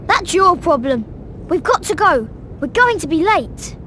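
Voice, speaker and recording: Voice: very high-pitched, big pitch swings, unhurried, pushed and bright, a little hiss or hum, exaggerated voice. An actor playing a blunt, plucky young British schoolboy wizard in a video game, with an urgent manner. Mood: impatient. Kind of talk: video game cutscene acting.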